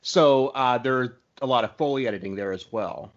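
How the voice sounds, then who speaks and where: A man hosts a podcast interview in a casual, conversational style. His pitch sits in the middle range for a male voice. The audio is dull and lo-fi. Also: moderate pace. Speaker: podcast host interviewing a guest.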